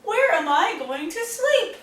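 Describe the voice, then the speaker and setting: A woman acts out a little female hedgehog from a storybook, put-upon and emphatic. The voice is high and swoops around, exclaiming huffily. It is picked up from a distance. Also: very animated, slow pace, fairly bright tone, exaggerated voice. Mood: huffy, upset. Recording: roomy, distant mic, faint noise.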